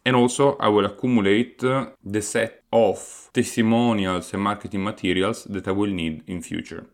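Solo podcast host, Italian man with an Italian accent, lower-pitched, sincere and hesitant delivery. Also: steady tone, moderate pace. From Italy. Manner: earnest, explanatory, with frequent hesitant fillers.

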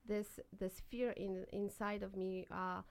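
A woman, a mother and caregiver, telling her story as a guest in an earnest, halting way, with many pauses and fillers. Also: medium pitch, flat delivery, slight background noise. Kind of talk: personal story in a conversation.

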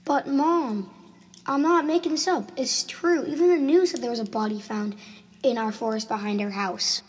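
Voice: high voice, normal speed, natural voice. A performer playing an earnest, insistent schoolboy in an amateur radio drama. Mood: indignant. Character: Timmy, earnest schoolboy who insists on what he saw. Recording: home recording with clear noise and clicks.

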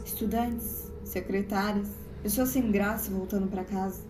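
A woman's voice: medium pitch, steady tone, a little slow, lightly acted. Woman acting echoey, urgent homeless doomsayer, Brazilian accent. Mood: urgent, bitter. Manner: urgent, bitterly resigned, emphatic. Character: homeless woman, wary doomsayer witnessing an alien invasion. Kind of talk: audio drama acting. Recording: echoey room with faint background noise.

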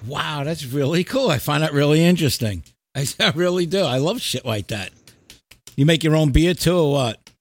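A middle-aged man hosting a casual chat, talking in an animated, slangy, sweary way. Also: a little high, moderate pace, dry recording. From New York and New Jersey, United States. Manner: animated, profane, slangy storytelling. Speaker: podcast host.

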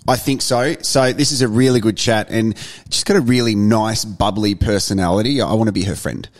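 A man's voice: lowish voice, steady tone, a little fast. A man co-hosting a podcast, talking in an enthusiastic, conversational way that rambles slightly. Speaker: podcast co-host. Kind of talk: casual podcast chat.

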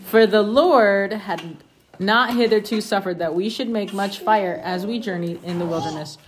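A woman's voice: mid-range voice, normal speed. A woman reading scripture aloud to her family at home, informally, with casual asides. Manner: informal, breaking off to chat with children. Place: at home among family with children. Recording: noisy home recording with clicks.

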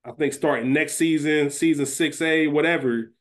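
A man's voice: a little high, moderate pace. Slangy man bantering on a podcast. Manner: slangy, profane, thinking aloud and repeating himself. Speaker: podcast co-host. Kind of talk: casual sports-draft style banter about a TV series.

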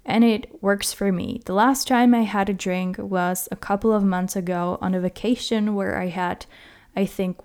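Young woman from czechia talking solo on her podcast, mid pitch, candid and measured. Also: a little mellow, clean tone. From Czech Republic. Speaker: podcast host. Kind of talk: personal solo podcast talk.

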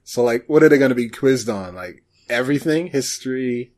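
A man hosting a podcast, chatting casually about shows in a halting, hesitant way. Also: mid-range voice, expressive, a little mellow. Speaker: podcast host.

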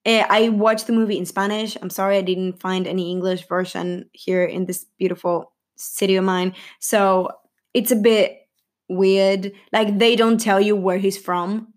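A woman's voice: medium pitch, flat delivery, measured pace. A young woman vlogging casually about a film's cast, rambling and giggly, with lots of fillers and self-corrections. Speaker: film vlogger.

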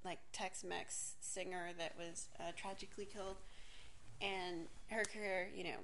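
A woman's voice: lowish voice, steady tone, measured pace, a bit bright, slight background noise. A woman in her forties from Miami telling a candid personal story, rambling and self-interrupting, swearing casually and laughing often. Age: 40s.

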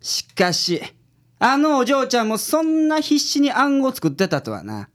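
A woman playing a gruff, blunt male detective boss with a Kansai accent and a teasing streak in a radio drama. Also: high voice, very animated, measured pace. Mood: casual, approving. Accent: Kansai Japanese.

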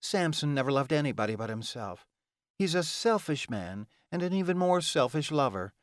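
A man's voice: fairly high pitch, very animated, unhurried, dry recording, clean studio sound, pitched above the performer's own voice. A man voicing a scornful, taunting female vampire in an audiobook, with a bitter, insulting manner. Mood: snarling.

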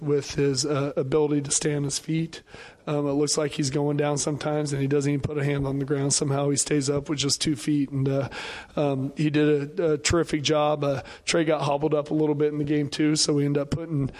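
A football coach who has lost his voice, speaking haltingly with many fillers in a pregame radio interview. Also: fairly high pitch, darkish tone. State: hoarse, lost voice.

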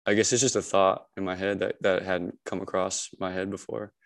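A man's voice: deep voice, flat delivery, a little fast. A young man hosting a podcast, talking casually and hesitantly with many fillers. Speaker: podcast host. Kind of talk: casual conversation.

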